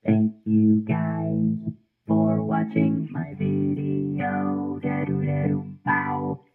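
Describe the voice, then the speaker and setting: A man's voice: deep voice, monotone, very slow. A man demonstrating a vocoder, his half-sung words turned synthetic by the effect. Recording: tinny band-limited vocoder sound over guitar.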